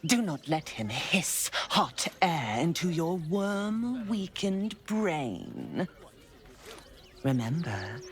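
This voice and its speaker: A woman's voice: fairly low pitch, heavily acted. A woman playing a sadistic, taunting female cultist villain in a video game, savoring her words with whispered edges. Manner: menacing, slow, savoring delivery with whispered edges.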